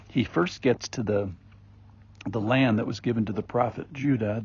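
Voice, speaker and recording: Gravelly husky guy rambling thru a bible passage, lowish voice, muffled lo-fi sound. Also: darkish tone. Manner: rambling, conversational, many ums and restarts. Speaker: podcast host teaching Bible passages. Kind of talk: informal daily Bible reflection. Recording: dull lo-fi recording.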